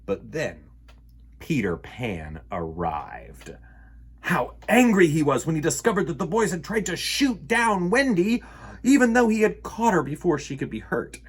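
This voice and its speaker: A man telling a children's story in an animated, theatrical way, acting out the sound words. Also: on the high side, a little slow, slightly rough.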